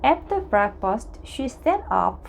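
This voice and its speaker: Slow, creaky woman reading a storybook. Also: a little high, very animated, slight background noise. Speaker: storybook reader. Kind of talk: read-aloud children's story narration.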